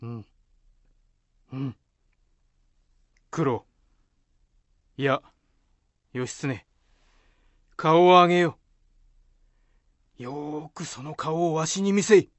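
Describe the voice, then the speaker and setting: A man plays a dignified samurai commander, a composed elder brother in a radio play. His voice sits slightly high for a man, and he delivers lordly, archaic lines slowly. The recording is dry. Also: a bit bright, no room sound, exaggerated voice. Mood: composed, commanding. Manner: lordly, measured archaic speech. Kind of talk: Japanese radio drama acting.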